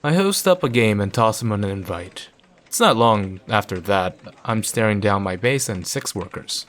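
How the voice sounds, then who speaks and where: A man's voice: lowish voice, lively intonation, moderate pace, fairly bright tone. A man reading a visual novel aloud in a narrating style, with casual asides.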